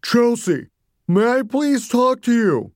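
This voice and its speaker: A suffering mother turned monstrous, as an adult narrator plays her, with a strange, raised voice. She pleads haltingly and tearfully, weak and wounded, in a dark audiobook horror tale. The recording is very dry. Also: mid-range voice, big pitch swings, no room sound, voice pushed up. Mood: troubled.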